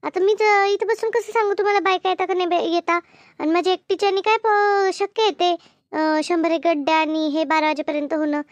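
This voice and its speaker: A woman's voice: extremely high pitch, fairly even intonation, fairly quick, dry recording. A woman playing a busy, talkative village housewife in a comedy cartoon, chatting colloquially with a rural Maharashtrian accent. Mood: hesitant. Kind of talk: animated comedy cartoon dialogue.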